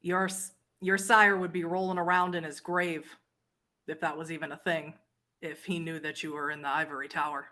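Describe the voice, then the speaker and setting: A low-voiced old vampire woman of the streets, played by a woman in a tabletop game. She is blunt and scornful, needling others in a folksy way. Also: level tone, moderate pace, bright, forceful tone. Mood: gruff, knowing.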